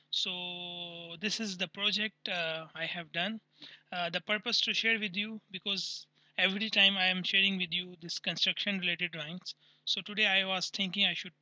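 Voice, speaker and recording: A man with a high, piercing voice explains an architectural plan step by step while pointing things out on screen. His English is halting, with frequent fillers and restarts. The recording is dry and close, with no room sound. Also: pushed and bright, no echo, poor audio. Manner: halting non-native English with many uh fillers, pointing at drawings. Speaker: channel host, construction designer. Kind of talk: screen-share walkthrough of CAD plans.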